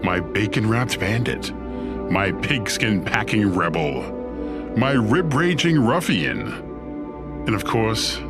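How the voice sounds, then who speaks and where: A scheming ruler of hell, deepish lively voice, theatrical and wry, music under it. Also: male voice, exaggerated voice. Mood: teasing, grandiose. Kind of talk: audio drama acting.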